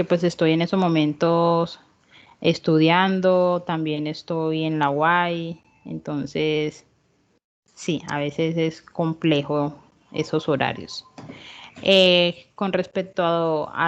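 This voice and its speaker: A woman interprets the guest's signed answers aloud in the first person. Her voice is fairly low and smooth, and she pauses often as she searches for words. The recording sounds slightly dull. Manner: halting, searching for words, frequent fillers. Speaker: sign language interpreter voicing a deaf guest.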